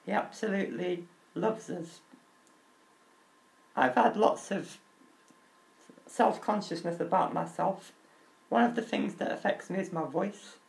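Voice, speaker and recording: A young man with a crackly, high-pitched voice, talking openly and earnestly about himself, with halting restarts. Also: vocal fry. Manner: open and earnest, halting restarts, nervous laughs. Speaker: vlogger. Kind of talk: candid personal talk.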